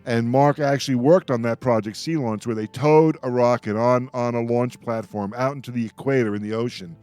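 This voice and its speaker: A man hosting a radio roundtable, chatting as an enthusiastic fan in an effusive, halting way with repeated words. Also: mid-range voice, steady tone, a little mellow, no room sound.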